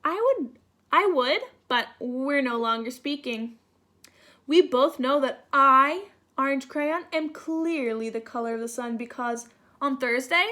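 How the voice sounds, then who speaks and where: Storybook crayon, male, scornful and insistent, high-pitched delivery, acted by a woman. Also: a bit bright. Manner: snide, insistent. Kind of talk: children's storybook read-aloud.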